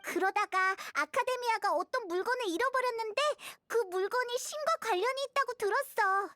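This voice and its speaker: A woman voicing a tiny childlike fairy companion in a video game, curious, chatty and excitable. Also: very high-pitched, normal speed, no room sound. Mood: eager, curious. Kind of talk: scripted game dialogue, Korean voice acting.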